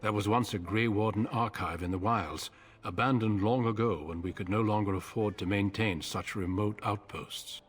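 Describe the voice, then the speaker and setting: An actor playing a grave, authoritative male commander in a video game, speaking in a measured, formal way. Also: lowish voice, lightly acted. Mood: grave, instructing.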